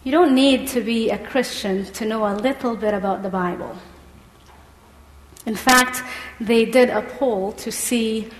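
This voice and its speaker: A woman's voice: fairly high pitch, unhurried. Egyptian-accented woman preaching in an echoey hall. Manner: engaging, asks the congregation questions. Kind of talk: sermon with scripture reading. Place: church hall. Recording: echoey hall with long reverb.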